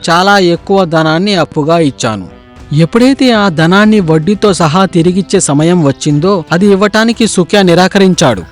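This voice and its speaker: Man as a high-voiced greedy landlord. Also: animated, a little fast, faint music behind. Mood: self-righteous. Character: greedy, self-justifying landlord moneylender.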